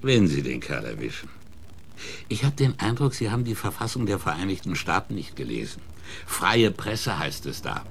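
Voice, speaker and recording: An actor playing a brash, cynical Wild West newspaper reporter in a German radio play, talking with scorn and defiance. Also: male voice, low voice, very animated, moderate pace, creaky, a little hiss or hum, heavily acted. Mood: mocking.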